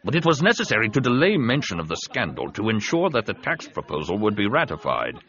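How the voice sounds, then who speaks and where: A man voicing a big, scheming alien senator in an audiobook, with measured, persuasive delivery. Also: fairly low pitch, wildly swooping pitch, fairly bright tone, highs cut off, pitched above the performer's own voice, full character voice. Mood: smug scheming. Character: scheming, soothing, heavyset male alien senator and host.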